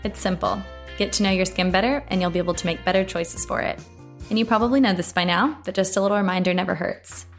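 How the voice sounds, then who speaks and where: A woman's voice: medium pitch, steady tone, quick pace, faint music behind. An American woman hosting an interview show, friendly and upbeat as she introduces and questions her guest. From United States. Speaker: podcast host. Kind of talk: interview host chat.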